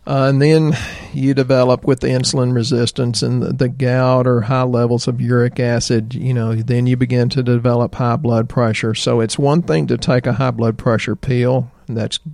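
A middle-aged man with a Southern American accent, a doctor chatting in a folksy, rambling way about health, his voice slightly hoarse. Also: medium pitch, little pitch movement, moderate pace, hardly any pauses, darkish tone, dry recording. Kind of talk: health talk show chat.

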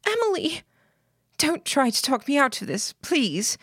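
A woman's voice: high voice, very animated, a little slow, fairly bright tone, no echo, clean studio sound, voice pushed up, heavily acted. A woman voicing a distraught, emotional girl who pleads insistently in an audiobook. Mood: pleading.

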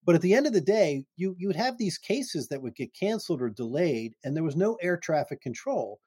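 A man's voice: a little high, sing-song, a little mellow, breathy edge, dry recording. Man hosting a casual interview, dry sound. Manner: loose, restarting, upbeat with quick laughs. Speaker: podcast host.

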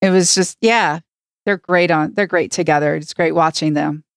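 A woman's voice: low-pitched, normal speed, clear, resonant tone, dry recording, clean studio sound. A woman co-hosting a podcast, talking in a casual discussion style. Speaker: podcast co-host.

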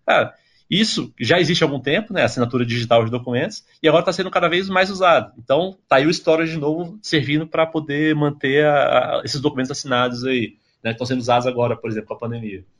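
A man's voice: medium pitch, fast, a bit bright. A man from Brazil, a guest in a casual podcast interview, explaining at length with frequent fillers and tag questions. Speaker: interview guest, tech company employee.

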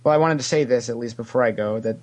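Male podcast guest, mid-pitched voice, casual fan banter, opinionated with a sarcastic comic streak. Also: fast. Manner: opinionated, animated when quoting, sarcastic joking. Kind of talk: casual, joking fan chat.

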